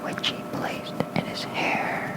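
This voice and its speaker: An ASMR creator softly whispering lore as a bedtime reading with casual asides, close to a fluffy-covered microphone. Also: slow.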